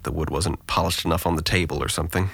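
A man's voice: deep voice, fairly even intonation, fast, gravelly, fairly bright tone, slightly rough, no room sound, heavily acted. An actor playing a sarcastic, guarded, depressed young man with dry wit and an articulate, deflecting manner in an audio drama. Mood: detached, weary.

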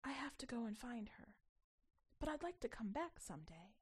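Woman acting little storybook girl, earnest, headstrong, emphatic, raised pitch. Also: very animated, normal speed, husky, exaggerated voice. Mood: earnest resolve.

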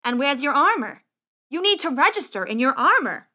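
A woman's voice: sits high, very animated, moderate pace, bright, forceful tone, voice pushed up, exaggerated voice. A woman voicing a dismissive male tournament scribe in a storybook, curt and scornful. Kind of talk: audiobook character acting.

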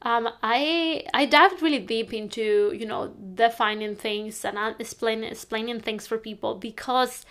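A woman's voice: a little high, a little slow, few pauses, a bit bright. A young woman from northern Spain, talking earnestly and rambling a bit in a casual monologue. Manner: earnest, rambling, self-correcting. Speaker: lifestyle podcaster.

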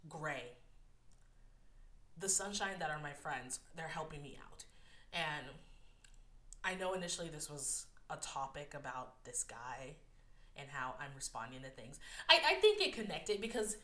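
A woman's voice: lowish voice, sharp tone, reverberant. A young woman hosting a personal podcast, candid and close to tears, her voice a bit nasal, laughing often between filler words. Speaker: podcast host. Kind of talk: personal solo podcast talk.

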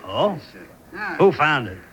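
A man's voice: on the high side, big pitch swings, takes it slowly, crowd noise. An actor playing a wide-eyed, nosy frontier townsman in an old-fashioned Western radio drama. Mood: amazed.

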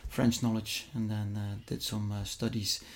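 A man's voice: fairly low pitch, little pitch movement, normal speed. Middle-aged Flemish Belgian man in interview. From Flemish-speaking Belgium. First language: Dutch. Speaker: interview guest, investment fund executive. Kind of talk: conversational interview answers.

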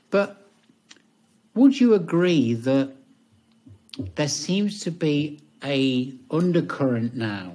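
A man hosting a podcast interview, talking in a halting way with many restarts. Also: medium pitch, dark tone, slightly rough. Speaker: podcast host. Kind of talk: casual interview chat.

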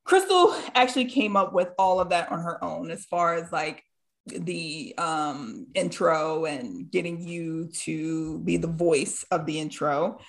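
A woman's voice: low voice, unhurried. A woman hosting a podcast in casual chat, teasing and laughing a lot. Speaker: podcast host.

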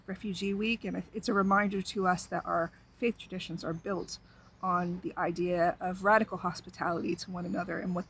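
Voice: mid-range voice, vocal fry, a bit bright, breathy edge. A speaker explains the background of a scripture passage in an earnest way, then reads it aloud with emphasis. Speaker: scripture reader at a service. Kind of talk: introducing and reading scripture.

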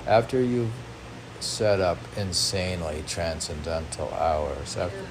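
A man's voice: low-pitched, steady tone, measured pace. A man reading and discussing his own poetry as a podcast, rambling and questioning himself aloud. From United States. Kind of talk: rambling self-questioning monologue. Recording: noisy room with air-conditioner hum.

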